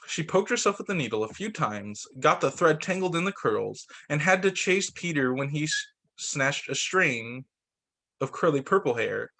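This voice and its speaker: A man reading a children's picture book aloud like a teacher at story time, expressive, with the odd stumble. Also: on the high side, normal speed, creaky. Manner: expressive, voices the dialogue with slight shifts; stumbles and corrects himself while reading.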